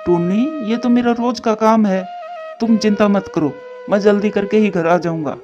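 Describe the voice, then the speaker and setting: A man's voice: sits high, normal speed, darkish tone, natural voice. A man voicing a caring, anxious father pigeon devoted to his child in a moral-story cartoon, on a muffled track. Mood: tender, reassuring. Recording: dull muffled studio track, music behind.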